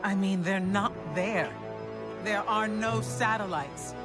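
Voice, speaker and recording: An actress voicing a composed, dry-witted warrior woman with a measured, serious manner in a video game cutscene. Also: medium pitch, fairly even intonation, natural voice. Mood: grave. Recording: game audio under loud music.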